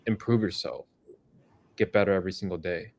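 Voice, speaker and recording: Male co-host, low voice, frank motivational tough love. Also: a little fast. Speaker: co-host answering chat questions. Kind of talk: casual live-stream chat.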